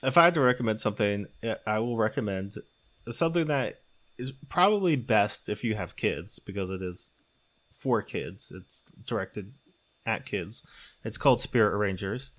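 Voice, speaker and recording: A man's voice: on the low side, lively intonation, moderate pace, fairly bright tone. A man hosting a podcast, talking casually as he gives recommendations. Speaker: podcast host.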